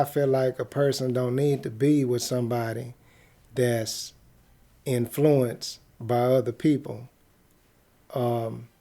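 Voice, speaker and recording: A man hosting a radio show, giving casual relationship advice in a halting, reflective way with frequent hesitations and repetitions. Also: mid-range voice, mellow. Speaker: internet radio show host.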